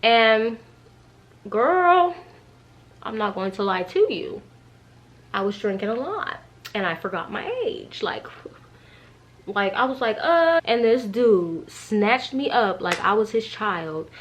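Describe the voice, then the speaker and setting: A woman's voice: mid-range voice, animated, takes it slowly, pauses often. A young woman telling a story in a casual, slangy, gossipy way, acting out bits of dialogue as she goes. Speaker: vlogger. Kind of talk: animated casual storytime chat.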